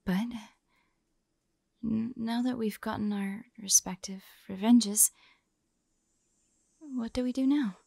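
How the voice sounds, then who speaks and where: Intimate audio roleplay: woman as vulnerable, devoted female lover, higher mellow voice, halting and breathy, near whisper. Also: no room sound, studio quality, heavily acted. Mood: tender, devoted.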